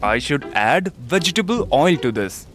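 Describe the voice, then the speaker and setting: A man's voice: on the high side, very animated, moderate pace, a bit bright, soft background music. A man playing a miserly, cheating village shopkeeper who bosses people around in a children's cartoon. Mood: scheming. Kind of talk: animated children's story acting.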